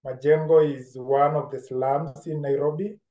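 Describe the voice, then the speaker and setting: A man with a Kenyan accent telling his story as an interview guest, deliberate and reflective, often repeating phrases. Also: on the high side, fairly even intonation, mellow. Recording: roomy, echoing sound.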